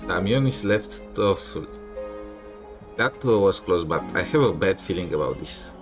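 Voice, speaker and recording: A man with a fairly deep voice gives casual commentary while playing a game. He drops wry, skeptical asides as music plays clearly behind him. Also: lively intonation, measured pace.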